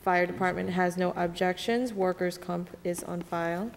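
A woman's voice: lowish voice, steady tone, moderate pace, creaky, a little hiss or hum. A woman reading formal petitions aloud as a clerk at a public meeting. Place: large council chamber. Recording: reverberant council chamber.

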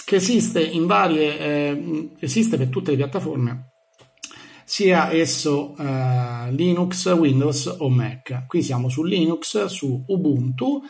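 A man giving an informal hands-on software tutorial, thinking aloud as he narrates each step. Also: on the high side, slow, vocal fry. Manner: thinks aloud, narrating each click with small verbal sound effects. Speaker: tutorial presenter. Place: indoors in a room. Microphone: handheld phone filming a laptop screen.

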